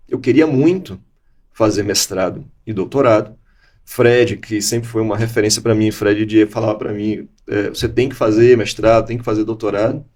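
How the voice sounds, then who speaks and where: A man's voice: medium pitch, a little mellow. A Brazilian man from Bahia, in his forties, recounting his career in a conversational interview, with an accent mixing Bahian and Gaúcho speech and frequent restarts. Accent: mixed Bahian and Gaúcho Brazilian Portuguese. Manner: reflective storytelling with frequent restarts. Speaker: interview guest, law professor.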